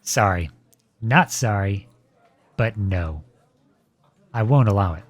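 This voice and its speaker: A man playing a protective boyfriend in a scripted audio roleplay, with measured, formal, pointed phrasing, polite but cutting. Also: on the low side, animated, no room sound. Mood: cool, probing.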